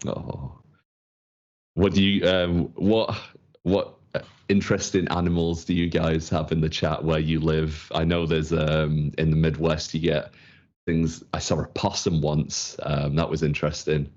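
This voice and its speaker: A man with a Manchester accent and a strikingly deep voice chats casually as a livestream co-host, laughing easily and padding his sentences with ums. His audio is dull and lo-fi, like a headset on a video call. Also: little pitch movement, measured pace, darkish tone. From England. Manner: chatty, laughs often, filler-heavy. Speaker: community manager co-host.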